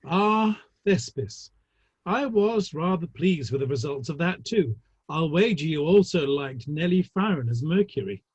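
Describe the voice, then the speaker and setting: Male actor as Victorian theatre manager, genial and teasing, husky, animated, recorded dry. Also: fairly high pitch, a little slow, dry recording, lo-fi sound. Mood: genial, playful. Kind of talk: dramatic play reading.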